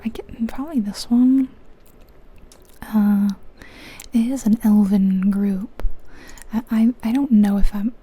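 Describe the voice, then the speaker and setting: A woman whispering gently and informally in a breathy, unhurried ASMR game commentary, close to the microphone. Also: mid-range voice, steady tone. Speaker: ASMR gaming video host.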